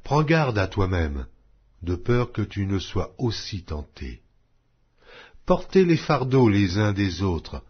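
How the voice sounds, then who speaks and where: A man reading scripture aloud in a slow, solemn, measured way. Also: medium pitch, husky, muffled recording. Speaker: Bible reader.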